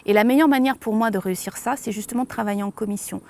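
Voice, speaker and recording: A woman's voice: mid-range voice, very fast, vocal fry, a little mellow. A woman local official explaining her view in an interview. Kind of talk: interview answer.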